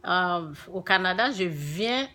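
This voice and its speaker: Female religious vlogger, francophone African accent. Bright, forceful voice, unhurried delivery, emphatic repetition. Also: fairly low pitch, lively intonation. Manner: emphatic, repeats phrases for stress. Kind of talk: informal spiritual talk to viewers.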